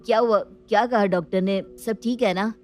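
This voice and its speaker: A woman's voice: medium pitch, fairly even intonation, moderate pace, a little mellow, natural voice. A woman playing a considerate, concerned young man in a family story. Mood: worried.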